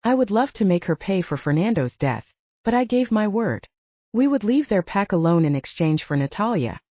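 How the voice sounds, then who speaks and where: A woman's voice: mid-range voice, very animated, moderate pace, dark tone, dry recording, dull audio. A woman voicing a smug male werewolf alpha villain in an audiobook, taunting and goading. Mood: smug, threatening. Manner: sneering, goading.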